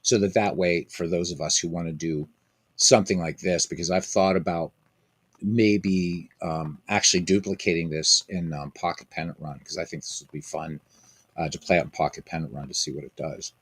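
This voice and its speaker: A man's voice: low-pitched, fairly even intonation, normal speed, fairly bright tone, breathy edge, dry recording. A man hosting a casual live stream of a baseball game, rambling, repeating himself and chatting with viewers by name.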